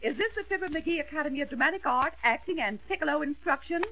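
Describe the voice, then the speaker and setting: An actress playing an earnest young screen hopeful with a formal, theatrical manner in an old radio comedy. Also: female voice, sits high, animated, fast. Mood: polite, eager. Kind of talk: 1930s-40s radio comedy acting. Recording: dull lo-fi old radio recording.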